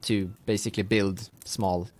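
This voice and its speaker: A man working as game master, improvising the narration of a tabletop game in a halting, conversational way. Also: lowish voice, fairly even intonation, no room sound.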